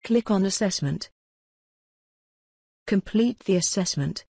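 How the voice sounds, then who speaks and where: A woman reading scripted tutorial narration in a measured, impersonal, step-by-step way. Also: mid-range voice, animated, gravelly, dark tone, slightly rough, no echo, clean studio sound.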